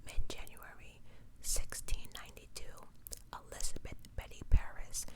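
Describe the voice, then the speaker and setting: Whispering woman, ASMR storyteller. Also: poor audio. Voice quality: unvoiced, breathy whisper. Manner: chatty, informal, repeats words for emphasis. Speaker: ASMR host. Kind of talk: whispered ASMR true crime storytelling with casual commentary.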